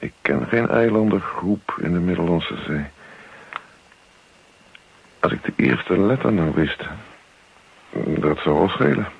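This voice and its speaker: An actor playing a puzzled, sardonic husband in a radio play, hesitant and given to dry muttering. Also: male voice, sits low, lively intonation, takes it slowly, vocal fry, pauses often, a little hiss or hum, heavily acted. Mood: pondering. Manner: hesitant, dryly sarcastic, muttering. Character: puzzled, sardonic husband, youngish bank man. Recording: dull, lo-fi old radio play recording.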